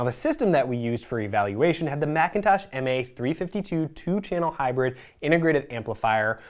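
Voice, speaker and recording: A presenter reading a scripted product review in a measured, explanatory way. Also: medium pitch, darkish tone.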